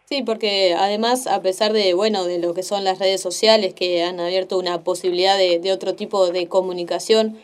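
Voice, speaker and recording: A woman hosting a radio interview, measured and ending her points with leading questions. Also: medium pitch, steady tone.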